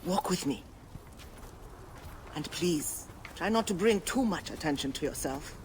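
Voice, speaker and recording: An actor playing a bookish, nervous, eager antiquarian in ancient Egypt for a video game, chatty and pleading. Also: male voice, a little high, lively intonation, a little slow, a little hiss or hum. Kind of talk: video game cutscene acting.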